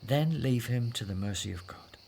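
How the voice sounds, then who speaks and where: A man's voice: medium pitch, animated, moderate pace, creaky, a little mellow, slightly rough. An actor in a scripted audio drama playing a devout Hebrew husband and father, earnest and persuasive. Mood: reassuring, persuasive.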